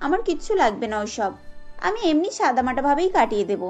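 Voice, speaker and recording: A modest young daughter-in-law as a woman acts her in a cartoon drama. She speaks in a very high, polite voice, patient and reassuring, with faint music underneath. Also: very animated, fast talker. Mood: gentle, reassuring. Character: humble, gentle, poor young daughter-in-law, patient and contented.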